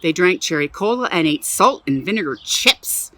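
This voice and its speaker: A woman reading a picture book aloud to children as a museum storyteller, animated and chatty, with little asides. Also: lowish voice, moderate pace, no room sound.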